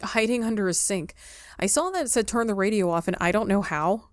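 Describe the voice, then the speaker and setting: A woman's voice: mid-range voice, fairly quick, no room sound, clean studio sound. A woman doing a live let's play, casually reacting and talking back to a game in a wry way. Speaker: game player.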